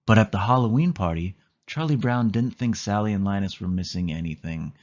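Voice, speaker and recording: A man's voice: on the low side, a little fast, a little mellow, highs cut off. A man narrating a children's storybook as a scripted read-aloud.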